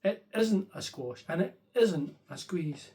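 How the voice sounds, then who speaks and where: A man reading a children's bedtime story in an expressive storybook narration style. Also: high-pitched, takes it slowly.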